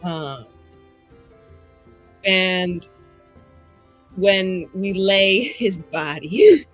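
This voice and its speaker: A woman narrating her character's actions casually and haltingly as a player in a tabletop roleplaying game. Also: medium pitch, soft background music.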